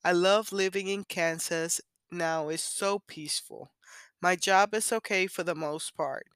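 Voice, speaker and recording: A woman's voice: fairly low pitch, fairly even intonation, measured pace, fairly bright tone, smooth, no echo. A woman in her thirties from Puerto Rico, a native Spanish speaker with a Puerto Rican accent, hosting a casual, candid solo podcast. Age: 30s. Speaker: podcast host.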